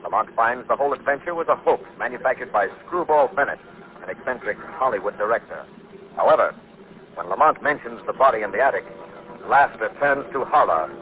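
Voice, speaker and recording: A man narrates a mystery recap in a scripted style. His pitch is average, and the sound is thin and tinny, with faint noise behind it. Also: animated, brisk. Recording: tinny, noisy old radio recording.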